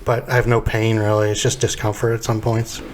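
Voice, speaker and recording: A man's voice: on the low side, level tone, brisk, a little hiss or hum. A man about forty, chatting bluntly on a podcast as a guest, swearing freely and often repeating himself. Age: 40s. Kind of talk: casual podcast chat.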